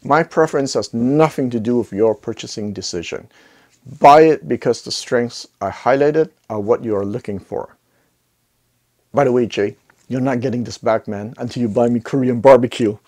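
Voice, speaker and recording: Middle aged guy doing a casual review vid, chatty, stresses his words, normal pitched voice, lapel mic. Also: moderate pace, a little mellow. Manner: conversational, emphatic, repeats words for emphasis. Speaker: host of an audio gear review channel. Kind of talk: casual product review to camera. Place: living room listening space with acoustic wall panels. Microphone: clip-on lapel mic.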